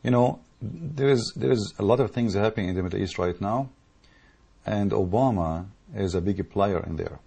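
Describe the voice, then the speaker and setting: Male commentator, English, dark mellow husky voice, heated monologue with repeated restarts. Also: on the low side, moderate pace. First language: Arabic. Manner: rhetorical questions, repetitive restarts, emphatic. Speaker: political commentator. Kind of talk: impassioned monologue to camera.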